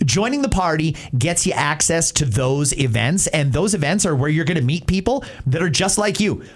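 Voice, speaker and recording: A man's voice: on the high side, animated, normal speed. A man with a Canadian accent hosting talk radio, giving emphatic, plain-spoken opinions in a conversational way. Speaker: talk show host. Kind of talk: opinionated talk-radio commentary answering listener messages.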